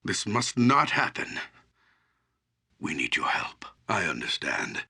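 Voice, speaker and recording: An aged, grave druid guardian of nature from a fantasy video game. The voice is low and rough with gravel, yet forceful and intense. He speaks with deliberate, portentous solemnity, like a storyteller of old lore. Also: very animated, heavily acted. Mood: grave, foreboding, then urgent, earnest. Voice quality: gravelly, husky.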